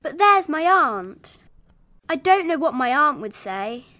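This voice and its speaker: High, bright little girl. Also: big pitch swings, takes it slowly, dry recording, full character voice. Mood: doubtful. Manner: earnest, childlike, plain-spoken. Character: earnest, soft-hearted little girl with a wish to help. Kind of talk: children's storybook character voice.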